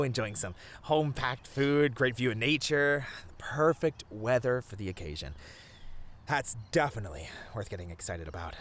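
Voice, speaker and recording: A man playing a sweet, bashful boyfriend in a romantic ASMR roleplay, talking tenderly in a flustered, halting way. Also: medium pitch, a bit bright, close to an everyday voice. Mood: affectionate, eager. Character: sweet, bashful, rambling boyfriend on a picnic date.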